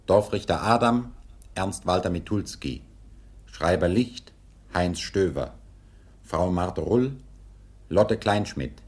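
Casting: male announcer, low voice, unhurried formal delivery, vintage public radio. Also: expressive. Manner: measured, formal.

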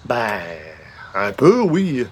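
A man playing a wry, skeptical newspaper columnist in a radio comedy, deadpan, with a Quebec French accent. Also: medium pitch, very slow, slight background noise. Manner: dry, deadpan. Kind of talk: old-time radio comedy acting.

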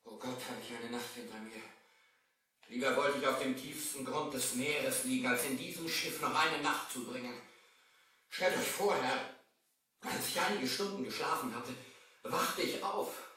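An actor playing a frightened, pious and loyal servant, agitated and pleading, in a radio play. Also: male voice, mid-range voice, moderate pace, bright, forceful tone, close to an everyday voice. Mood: horrified. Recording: echoey distant old vinyl record.

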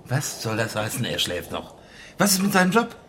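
An actor playing an arrogant, blustering middle-aged banker in a radio crime drama, brusque and stammering when pressed. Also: male voice, medium pitch, wildly swooping pitch, moderate pace, sharp tone, a little hiss or hum. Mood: contemptuous, hurried.

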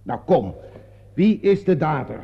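A man's voice: fairly high pitch, big pitch swings, measured pace, creaky, husky, heavily acted. An actor playing a commanding, exasperated theatre man in a radio play, brusque and authoritative. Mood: exasperated, firm. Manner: brusque, authoritative, takes charge. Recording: dull, muffled old radio play recording.

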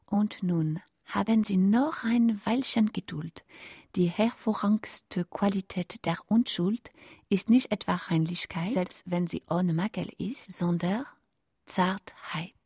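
Macabre cookery hostess for radio drama, unhurried, breathy, courteous and intimate. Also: female voice, medium pitch, darkish tone, no room sound, studio quality. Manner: slow, deliberate, breathy, often near whispering. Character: courteous, macabre female cookery instructor addressing her audience. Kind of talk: intimate scripted radio monologue.

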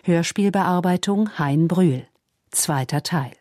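A female radio announcer with a low, mellow voice. She reads scripted credits slowly and formally, in neutral 1960s broadcast style. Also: no room sound, studio quality.

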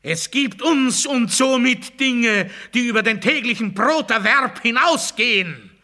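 Radio play casting: imprisoned demagogue, delusional and commanding, high sharp voice, declamatory delivery moving from mutter to oratory. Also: male voice, a little slow, full character voice. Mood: haranguing. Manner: declamatory, rhetorical, swinging between hushed muttering and loud oratory. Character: ranting male demagogue in a cell, delusional and commanding. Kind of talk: German radio play monologue.

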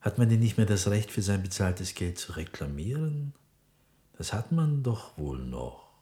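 An actor playing a meek, stubborn old book peddler in a classic radio drama, speaking in a plaintive, halting, deferential way. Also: male voice, fairly low pitch, expressive, dark tone. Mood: aggrieved.

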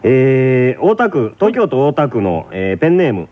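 A man's voice: medium pitch, sing-song, normal speed, phone-quality sound. A man hosting a radio show, bantering casually and reading out listener letters in a teasing, exclamatory way.

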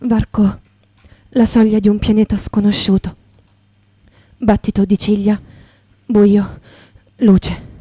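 A woman's voice: medium pitch, flat delivery, slow pace, long pauses, mellow, breathy edge, dry recording. An actress playing a yearning young woman rebel in a lyrical, incantatory theatre monologue. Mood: anguished. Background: guitar music.

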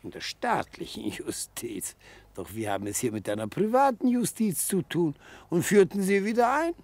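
Theatrical old lawyer, swooping delivery. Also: male voice, sits high, big pitch swings, normal speed, a little mellow, dry recording, exaggerated voice. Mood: genial, confiding. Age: older. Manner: pompous, old-fashioned, florid phrasing. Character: genial, theatrical old retired defence lawyer, slyly coaxing. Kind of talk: German radio play acting.

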